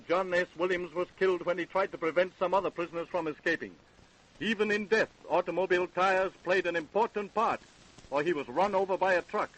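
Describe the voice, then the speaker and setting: A man's voice: sits high, fairly bright tone, low-quality recording. An American man narrating a scripted crime story in 1930s radio style. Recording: old radio broadcast recording.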